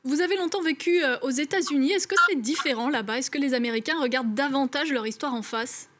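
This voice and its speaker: High-voiced woman interviewer. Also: quick pace, fairly bright tone. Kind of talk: prepared interview questions.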